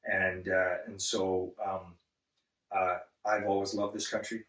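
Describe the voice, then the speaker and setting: A man born in Zambia, a guest on an interview, speaking earnestly and haltingly with many restarts. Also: deep voice, little pitch movement, a bit bright, far from the mic. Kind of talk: casual interview. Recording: echoey room, set back from the mic.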